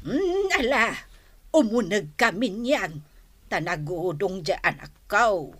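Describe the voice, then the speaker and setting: A woman's voice: mid-range voice, animated, takes it slowly, fairly bright tone. A woman playing a frail, talkative old grandmother with a Filipino accent in a comedy radio drama. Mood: chatty, grateful. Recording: noisy radio drama recording.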